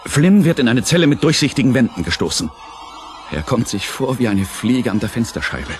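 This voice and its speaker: A man narrating a German radio play in a theatrical, deliberate scripted style. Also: mid-range voice, very animated, over music.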